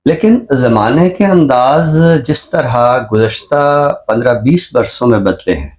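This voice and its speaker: Pakistani man explaining in measured phrases. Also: mid-range voice, expressive. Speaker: expert guest on a literary and social talk show. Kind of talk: reflective discussion.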